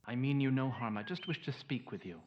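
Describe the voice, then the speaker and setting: A man's voice: medium pitch, a little fast, lo-fi sound, close to an everyday voice. An actor playing an insistent, coaxing nobleman with courtly speech in a fantasy audio drama. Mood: placating.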